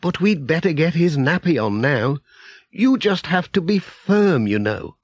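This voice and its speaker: Guy doing a cheery motherly village lady for a kids storybook, high pitched, lilting, brisk and chatty. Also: sing-song, no echo, clean studio sound, heavily acted. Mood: amused, reassuring. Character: friendly middle-aged village woman, brisk and cheerful.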